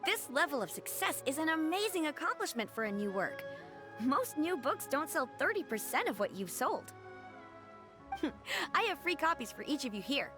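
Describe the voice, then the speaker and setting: A woman's voice: high voice, sing-song, normal speed, over music. A woman voicing a polite, cheerful, businesslike young editor in a video game, courteous and upbeat. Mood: delighted. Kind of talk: video game character acting.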